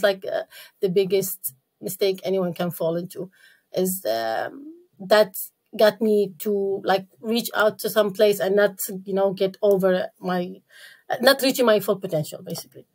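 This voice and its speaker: A woman from Iraq, a podcast guest, talking casually and haltingly with many fillers and restarts. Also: mid-range voice, darkish tone. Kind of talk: casual interview talk.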